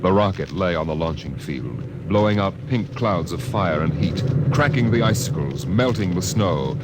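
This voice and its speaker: A man narrating a literary radio drama in a measured, evocative storytelling manner. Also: sits low, lively intonation, very noisy. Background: loud music and effects.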